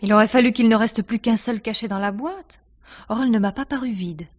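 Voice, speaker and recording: A woman's voice: fairly high pitch, fairly quick, close to an everyday voice. A woman playing a poised, sardonic stage actress. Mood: matter-of-fact. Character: self-possessed, sardonic stage actress suspected of murder. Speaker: radio drama actor. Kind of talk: French mystery radio drama acting, 1950s manner.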